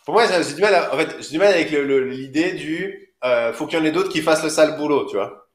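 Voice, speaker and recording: A man's voice: a little high, lively intonation, fast talker. A man co-hosting a casual live discussion, feeling his way through points with hesitant, rambling phrasing. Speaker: co-host of a live discussion show. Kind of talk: casual live interview chat. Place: indoors, sitting beside co-host. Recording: roomy, echoing live-stream sound.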